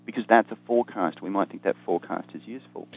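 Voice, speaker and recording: A man's voice: low-pitched, fast talker, vocal fry, breathy edge, rough recording. An Australian man, an economist guest, explaining ideas in conversation with dry humor and frequent ums. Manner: dry self-deprecating humor, many ums and restarts.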